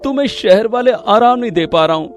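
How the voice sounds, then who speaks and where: Man playing an earnest, high-voiced young village husband. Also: expressive, brisk. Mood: apologetic. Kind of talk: animated moral-story acting. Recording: prominent music behind the voice.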